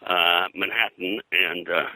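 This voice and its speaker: An older man reminiscing in an interview as a comics industry veteran, in a halting, rambling way peppered with "you know". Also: low-pitched, steady tone, bright, forceful tone, no room sound, low-quality recording.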